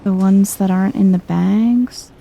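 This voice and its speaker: Female gameplay streamer, ASMR-style: soft, unhurried, mellow delivery, casual and chill, faint noise behind her. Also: medium pitch, steady tone, vocal fry. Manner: chill, murmuring to herself. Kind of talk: soft-spoken casual game commentary, ASMR vibe.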